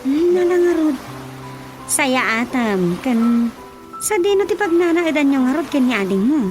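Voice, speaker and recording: A woman's voice: high-pitched, big pitch swings, unhurried. A woman playing a nosy, chatty village auntie in a radio drama comedy, with an Ilocano accent. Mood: inquisitive. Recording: radio drama with loud music bed.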